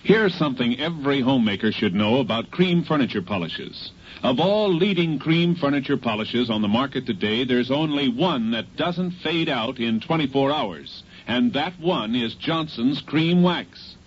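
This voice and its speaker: A man's voice: medium pitch, lively intonation, normal speed, a little hiss or hum. An American man, a polished, persuasive announcer reading advertising on mid-century radio. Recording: muffled vintage radio recording.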